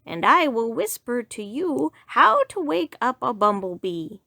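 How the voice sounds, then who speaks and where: A woman's voice: a little high, sing-song, a little slow, gravelly, pushed and bright, no room sound, studio quality, exaggerated voice. A woman voicing a wise old male groundhog who speaks firmly and coaxingly.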